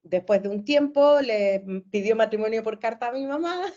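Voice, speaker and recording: A Chilean woman in her forties chats as a guest with a bright, easy cheerfulness, often breaking into laughter. Her talk wanders with fillers and restarts. The sound is dull and lo-fi, as over a video call. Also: fairly high pitch, normal speed. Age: 40s. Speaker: guest, therapist. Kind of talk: casual conversation.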